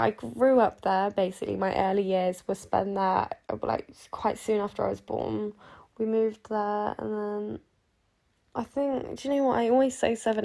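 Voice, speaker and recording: A woman's voice: mid-range voice, creaky, dark tone. An eighteen-year-old woman from Sheffield, hosting a podcast in a rambling, chatty way that is full of asides. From Sheffield, England. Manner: chatty, self-interrupting, giggly asides. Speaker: podcast host. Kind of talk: rambling casual chat.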